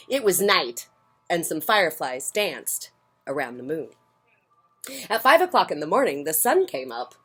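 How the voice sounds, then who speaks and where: A woman reading picture books aloud to children, playful and informal, with casual asides. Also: sits low. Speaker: children's storyteller.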